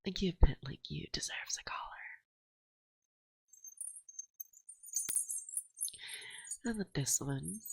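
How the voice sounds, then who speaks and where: A woman playing a dominant, teasing and affectionate forest witch in an ASMR roleplay, speaking in a hushed, breathy voice that often drops to a whisper, close to the microphone. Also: low-pitched, no room sound, heavily acted, low-quality recording. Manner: slow, soothing, teasing, gently dominant. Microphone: close binaural microphone.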